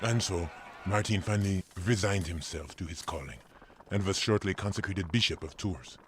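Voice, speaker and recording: An actor as a deep-voiced, fatherly German village priest. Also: noisy background, close to an everyday voice. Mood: storytelling. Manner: measured, storytelling, fatherly. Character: kindly German village priest telling saints' tales. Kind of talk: family audio drama acting.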